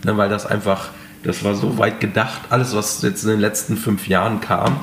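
A man who is a chef, answering interview questions in a relaxed, hesitant way with many fillers. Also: fairly low pitch, moderate pace, slight background noise. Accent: German. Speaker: chef, restaurant owner. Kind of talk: casual interview talk.

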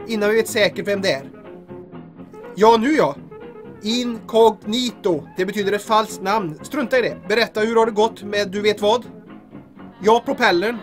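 An actor playing a harried, shifty smuggler in a comedic audio drama, hasty and exasperated in manner. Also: male voice, high voice, lightly acted. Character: harried, shifty smuggler taking a secret phone call.

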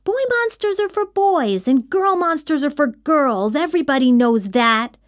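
A woman's voice: sits high, lively intonation, unhurried, no room sound, studio quality, pitched above the performer's own voice. A young storybook child played by a woman who is picky and hard to scare. The voice is raised and swoops up at questions, and the manner is blunt and bold. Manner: blunt, matter-of-fact.

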